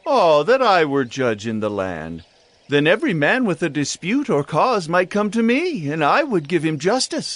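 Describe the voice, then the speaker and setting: An actor playing an ambitious, scheming young prince of ancient Israel in declamatory, theatrical audio Bible drama. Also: male voice, a little high, wildly swooping pitch, moderate pace, a bit bright, dry recording. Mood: persuasive, smooth.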